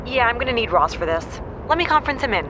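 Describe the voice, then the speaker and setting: A woman playing a blunt, exasperated adult daughter with a deadpan manner in an audio drama. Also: a little high, fast talker. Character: dry, exasperated adult daughter on a phone call. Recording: faint noise on the call.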